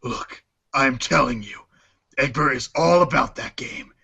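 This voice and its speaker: A man playing a cocky, foul-mouthed teenage boy with a deadpan, slangy, sarcastic delivery and husky voice in a storybook fan dub. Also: mid-range voice, measured pace, heavily acted. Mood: sardonic, cocky.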